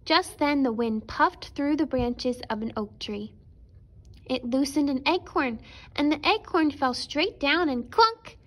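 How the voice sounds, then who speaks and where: A woman's voice: sits high, expressive, unhurried. A woman storyteller narrating a children's picture book expressively for preschoolers.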